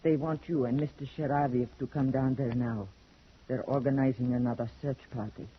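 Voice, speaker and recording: A muffled old radio recording of a man playing a deferential household servant. The voice is husky and medium-pitched, subdued and polite. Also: steady tone, a little fast, natural voice. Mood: subdued, grave. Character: deferential household servant bringing grave news. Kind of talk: old-time radio drama acting.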